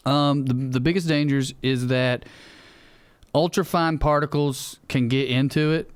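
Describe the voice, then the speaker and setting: Clear-voiced Southern man, wry and blunt. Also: mid-range voice, steady tone, unhurried, no echo. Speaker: podcast co-host. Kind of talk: casual chat, explaining.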